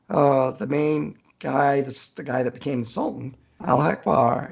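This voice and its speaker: A man with a gravelly, husky voice answering casually in an interview as an author, chatty and thinking aloud. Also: on the high side, darkish tone, dull audio.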